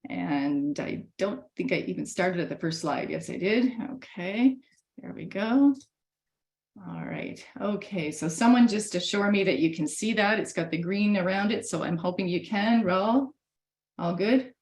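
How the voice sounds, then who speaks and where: A woman's voice: medium pitch, expressive, measured pace, darkish tone. A woman losing her voice a bit, chatting through a video-call webinar presentation with lots of fillers and restarts. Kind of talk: casual presentation. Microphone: computer mic on a video call.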